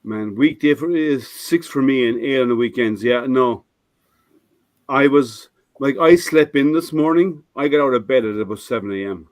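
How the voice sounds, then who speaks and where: A man's voice: mid-range voice, moderate pace, no echo. An older man hosting a casual live stream, his voice a little scratchy, explaining his printer with many restarts and fillers. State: slightly scratchy voice.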